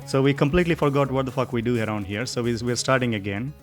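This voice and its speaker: A man's voice: medium pitch, faint music behind. Joking male podcast host. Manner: loose, joking, halting restarts. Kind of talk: casual podcast chat.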